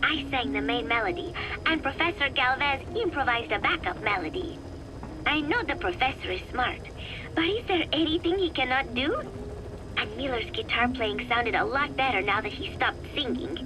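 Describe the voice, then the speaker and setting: Wistful young female spy for a game, taped diary, soft and fairly high, clear music behind. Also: rough recording. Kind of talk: intimate dramatic video game monologue, taped diary.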